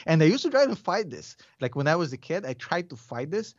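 Russian-accented man, rambling and animated. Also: on the high side, a little fast, dry recording, dull audio. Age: 40s. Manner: animated, rambling, laughs often. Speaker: podcast guest. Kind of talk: casual interview chat.

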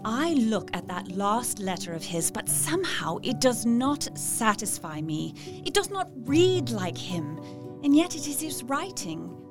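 A worried young woman confiding in her journal in a period audio drama. Her voice is on the high side, earnest and reflective, over clearly heard music. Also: big pitch swings, measured pace. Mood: anxious, puzzled. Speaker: voice actor.

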